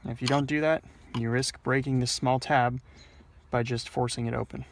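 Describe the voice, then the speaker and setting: A man's voice: medium pitch, fairly even intonation, normal speed, gravelly, slight background noise. A man presenting a casual, hands-on installation tutorial, explaining each step in a plain-spoken way. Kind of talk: casual hands-on how-to instruction. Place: in or at a car, working on the dashboard.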